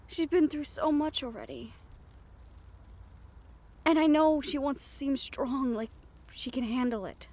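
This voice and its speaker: A woman playing a gentle, teasing but worried young siren in an audio roleplay, speaking softly and intimately to the listener. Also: high-pitched.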